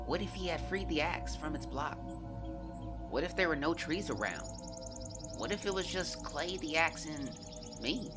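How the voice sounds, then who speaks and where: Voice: medium pitch, animated, normal speed, long pauses, music in the background, natural voice. An actor voicing an earnest boy confiding in his journal. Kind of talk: scripted audio drama narration.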